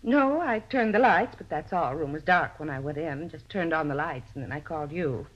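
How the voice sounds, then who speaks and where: A woman's voice: low voice, expressive, normal speed. An actress playing a touchy, self-important American landlady in a 1950s radio drama, talkative and defensive. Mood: explaining. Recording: muffled old radio recording.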